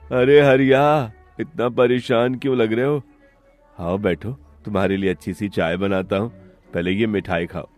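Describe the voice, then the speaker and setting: A man's voice: lowish voice, steady tone, normal speed, darkish tone, soft background music, lightly acted. A man voicing a hardworking, affectionate village father who runs a roadside eatery in a cartoon story. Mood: friendly.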